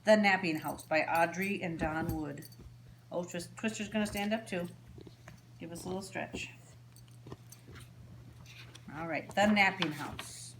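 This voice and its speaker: A woman reading picture books aloud to young children in an animated, deliberate story-time style with simple, child-directed phrasing. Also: lowish voice. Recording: roomy echo, faint background noise.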